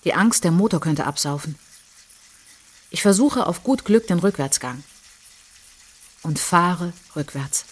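An actress narrating as a reflective, resolute woman looking back on her childhood in a German radio drama, in measured short phrases. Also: on the low side, lively intonation, slightly rough. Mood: tense, matter-of-fact. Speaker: narrator of autobiographical monologue. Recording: music behind the voice.

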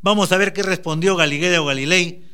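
A man's voice: high-pitched, fairly quick, bright, forceful tone, smooth. A man giving a motivational talk, emphatically urging the listener on and addressing them directly. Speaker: motivational speaker.

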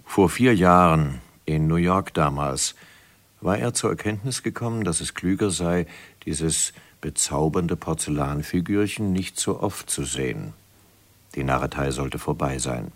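Casting: male narrator, extremely low voice, measured literary storyteller for vintage radio drama. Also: animated. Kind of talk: scripted narration of a 1970s radio play.

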